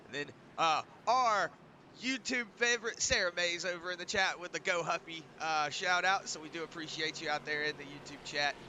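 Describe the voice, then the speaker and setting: A man's voice: fairly high pitch, normal speed, bright, forceful tone, slight background noise. A man feeling sick and under the weather, casually commentating a sim race and reading out viewer comments. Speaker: sim racing broadcast co-host.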